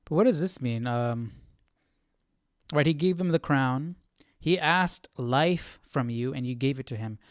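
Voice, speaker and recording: A man's voice: mid-range voice, a little slow, no echo, clean studio sound. A man hosting a casual Bible study stream, thinking aloud, asking questions and reading verses. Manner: questioning, musing. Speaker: Bible study livestream host.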